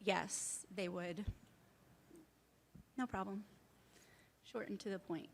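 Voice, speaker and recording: Gravelly, flat-voiced woman presenter. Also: mid-range voice. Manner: halting, filler-heavy explanations. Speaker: civil service program presenter. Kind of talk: answering audience questions at a workshop.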